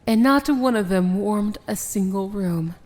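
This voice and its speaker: A woman playing a proud, dangerous evil princess in an ASMR fantasy romance roleplay, speaking softly and formally with a breathy, measured, regal delivery. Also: medium pitch, close to an everyday voice. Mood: tender, earnest.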